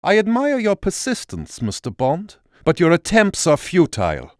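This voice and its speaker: Spy game villain: gloating, grandiose, theatrical, somewhat high voice with wide swings. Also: male voice, wildly swooping pitch, normal speed, no room sound, studio quality.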